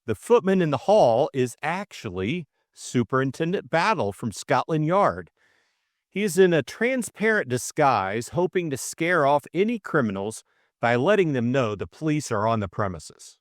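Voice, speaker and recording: A man's voice: fairly high pitch, big pitch swings, moderate pace, dry recording, studio quality. A man reading a scripted story recap and novel as an audiobook co-narrator, in dramatic style.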